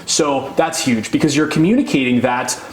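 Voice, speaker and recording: A man's voice: medium pitch, moderate pace. A man in his twenties chatting casually as an interview guest, using slangy, informal speech peppered with "like". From Canada. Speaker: interview guest, real estate investor. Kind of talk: casual, unscripted interview chat. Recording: roomy echo, clear background noise.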